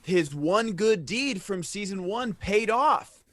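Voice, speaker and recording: Co-host, bright and animated, playful with quoted lines, dry sound. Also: male voice, high-pitched, takes it slowly, no echo. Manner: enthusiastic, acts out quoted lines. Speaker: podcast co-host. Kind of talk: casual chat.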